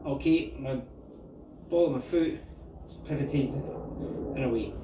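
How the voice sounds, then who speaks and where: A man's voice: mid-range voice, measured pace, heavy background noise. A man with a Scottish accent giving a martial arts tutorial, talking through the moves as he demonstrates them. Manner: relaxed, talks through moves while demonstrating. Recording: echoey room, mic at a distance.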